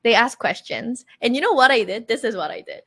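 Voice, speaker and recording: A woman's voice: on the high side, expressive, brisk, sharp tone, slightly rough. A woman in her thirties teaching an online language masterclass in an encouraging, motivational way, acting out her own past words as she goes.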